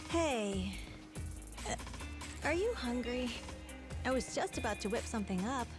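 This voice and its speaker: A woman's voice: on the high side, expressive, measured pace, music in the background. An actress playing a kind, plainspoken young woman, firm when needed, in a video game cutscene. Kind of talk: English video game dub acting.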